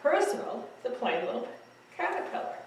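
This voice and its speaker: A woman librarian reading bedtime stories to children in a gentle, friendly storytelling manner. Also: a little high, very animated, normal speed, a little hiss or hum. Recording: echoey room.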